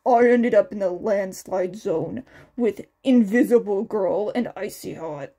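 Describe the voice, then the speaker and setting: A cocky teenage boy from an anime-style story , voiced by a woman. He is blunt and matter-of-fact, speaking slowly in a youthful voice. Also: medium pitch, mellow, pitched below the performer's own voice.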